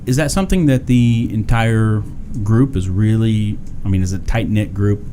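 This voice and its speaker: A man hosting a podcast interview, relaxed and casual, restarting his questions as he goes. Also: on the low side, moderate pace, slight background noise. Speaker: podcast host.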